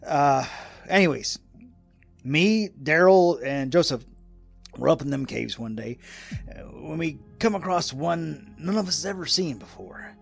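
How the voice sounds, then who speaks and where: An actor playing a folksy, rambling old American hermit in a radio drama, with a gravelly, raspy voice and an excitable rustic manner. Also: male voice, on the high side, measured pace, faint music behind, heavily acted. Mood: folksy, reminiscing. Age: older.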